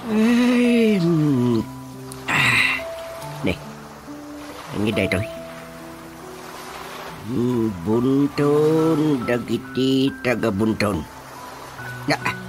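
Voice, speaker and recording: An elderly, grumbling husband in a comic radio drama. He takes his time, humming and exclaiming as he mutters, with music playing clearly behind him. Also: medium pitch, big pitch swings, very slow, pauses often. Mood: musing, fussy. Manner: muttering, full of exclamations and hums. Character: grumbling old husband talking to himself.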